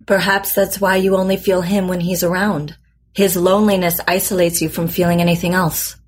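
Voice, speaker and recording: An actress playing a composed, probing psychotherapist with a measured, clinical manner in a naturalistic audio drama. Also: female voice, lowish voice, breathy edge. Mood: calm, probing. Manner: measured, calm, clinical questioning.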